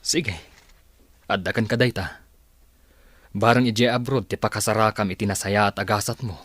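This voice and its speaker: A man's voice: lowish voice, steady tone, moderate pace, a bit bright, no room sound. A man playing a patient, caring father in an audio drama, earnestly advising his child. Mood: concerned. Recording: faint music under the voice.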